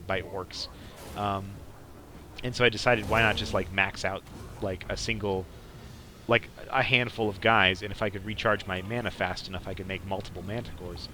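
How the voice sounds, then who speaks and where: A man's voice: on the low side, noisy background. A young man giving casual, rambling gameplay commentary as a podcast host, often saying "like". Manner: rambling, peppered with "like". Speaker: podcast host playing a strategy game.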